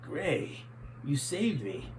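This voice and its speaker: A man's voice: a little high, big pitch swings, drawn-out words, slight background noise, exaggerated voice. A man voicing a stern, scowling army general in a storybook, terse and commanding. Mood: weak, grateful.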